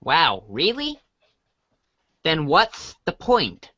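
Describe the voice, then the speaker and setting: A woman's voice: sits low, wildly swooping pitch, drawn-out words, bright, forceful tone, no echo, full character voice. A woman playing a naive, good-natured young boy hero in a storybook read-along, earnest and hesitant. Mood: puzzled.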